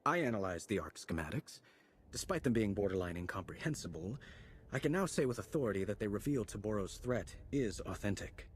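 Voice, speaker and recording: An eager, nerdy space engineer voiced by a man for a game cutscene. He is earnest and technical, in a lowish voice over faint background noise. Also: expressive, a little fast, natural voice. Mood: earnest, explaining.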